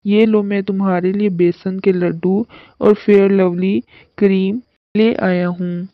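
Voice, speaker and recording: A woman's voice: medium pitch, little pitch movement, measured pace, lightly acted. A woman voicing a smitten, sly male cartoon crow who is coaxing and eager to please. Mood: eager, boastful. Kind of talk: Hindi cartoon story dialogue. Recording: muffled lo-fi cartoon audio with echo.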